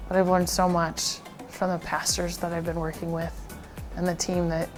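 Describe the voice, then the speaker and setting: An American woman speaking as a missionary, thoughtful and halting, often repeating words as she reflects. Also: lowish voice, monotone, soft background music. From United States. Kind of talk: reflective interview.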